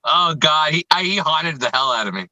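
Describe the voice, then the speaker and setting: A man's voice: on the high side, lively intonation, brisk, sharp tone, no echo, rough recording. A man hosting a music interview in casual, slangy chat, enthusiastic and often repeating himself. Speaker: music show host interviewing.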